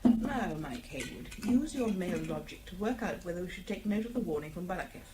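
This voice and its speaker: A woman's voice: on the low side, very animated, brisk, mellow, slight background noise. A woman playing a teasing, spirited wife in a spy audio drama, arch and playful.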